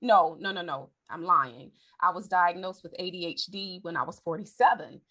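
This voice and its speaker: A woman's voice: lowish voice, normal speed, a bit bright. A woman in her forties hosting a podcast, talking candidly in a chatty way and correcting herself as she goes. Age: 40s. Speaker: podcast host. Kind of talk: casual conversation.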